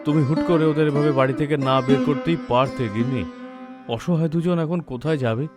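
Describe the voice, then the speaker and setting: A man playing a patient middle-aged village father in a cartoon, reasoning calmly. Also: on the high side, fast talker, over loud music, lightly acted. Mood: reproving. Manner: calm, reasoning.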